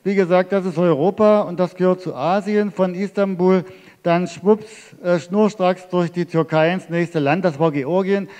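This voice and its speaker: A man's voice: high voice, steady tone, normal speed, a little mellow. A middle-aged man from Germany giving a chatty, explanatory travel slideshow talk to a live audience, speaking into a handheld microphone in a large theatre hall. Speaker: travel lecturer presenting his cycling slideshow. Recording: reverberant hall.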